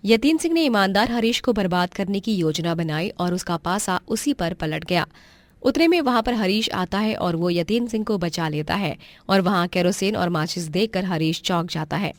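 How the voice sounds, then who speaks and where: A woman's voice: fairly low pitch, expressive, moderate pace, no room sound. Woman narrating, dry studio sound. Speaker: storyteller. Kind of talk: scripted story narration.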